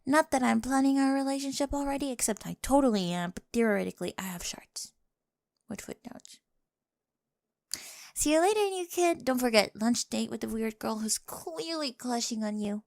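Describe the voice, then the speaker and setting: Woman playing a creaky-voiced, smitten, quirky teenage girl. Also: a little high, animated, normal speed, darkish tone, no room sound, clean studio sound. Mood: smitten, playful.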